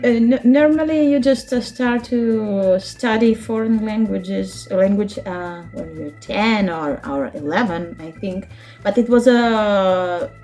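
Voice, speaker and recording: A woman in her thirties from Ukraine, a native speaker of Russian and Ukrainian, talking in a casual interview with a Ukrainian accent, halting and searching for words, laughing often. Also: medium pitch, darkish tone. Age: 30s. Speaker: interview guest, children's book translator.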